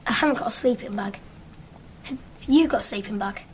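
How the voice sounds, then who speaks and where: An actress playing a blunt, defiant but loyal young child in a naturalistic radio drama. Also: female voice, mid-range voice, fairly quick, a little hiss or hum, close to an everyday voice. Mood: matter-of-fact.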